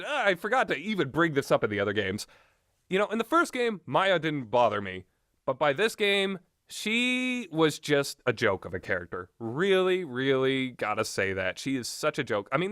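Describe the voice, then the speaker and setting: A man giving rambling, opinionated game commentary in a blunt, exasperated, sweary and halting manner. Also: medium pitch, a bit bright, no echo, studio quality. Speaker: video game reviewer.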